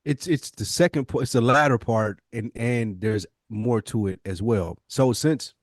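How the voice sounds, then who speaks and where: Man, American accent, mid-pitched, dry recording, casual podcast talk, slangy, emphatic. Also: unhurried, no echo. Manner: emphatic, slangy, acts out imagined dialogue. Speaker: podcast co-host. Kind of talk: casual conversational podcast discussion.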